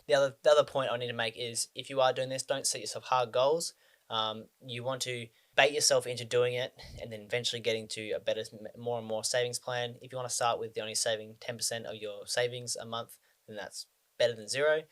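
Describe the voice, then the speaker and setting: A young man in his twenties from Australia talks casually to camera about money. His voice sits in a middle pitch, and he rambles, stopping and restarting his sentences with frequent ums. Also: fairly even intonation, brisk, a bit bright. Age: 20s. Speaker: personal finance vlogger.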